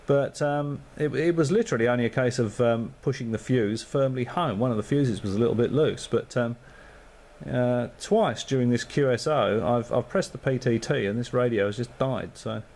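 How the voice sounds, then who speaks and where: A man's voice: mid-range voice, normal speed, darkish tone. A man on amateur radio, chatting casually and thinking aloud with lots of fillers. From Australia.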